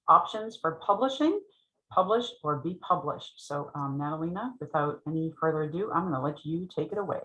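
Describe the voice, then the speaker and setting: A woman hosting a writers' club meeting, introducing the guest in a relaxed, friendly way over a video call. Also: fairly low pitch. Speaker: host. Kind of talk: event introduction. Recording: dull lo-fi call audio.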